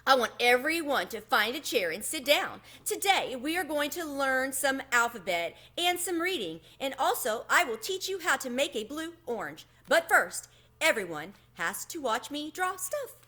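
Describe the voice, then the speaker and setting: A woman's voice: high voice, sing-song, normal speed, sharp tone, pitched above the performer's own voice, full character voice. A woman voicing a bossy, dramatic young schoolgirl in a children's storybook, with emphatic exclamations. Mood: bossy, proud. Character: dramatic, bossy, excitable five-year-old schoolgirl.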